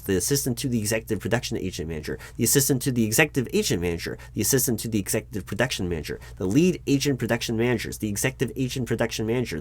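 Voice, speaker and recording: A man doing a roleplay as a job interviewer, talking in a deliberate, dry way with frequent ums. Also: fairly low pitch, a little mellow. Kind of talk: scripted ASMR roleplay.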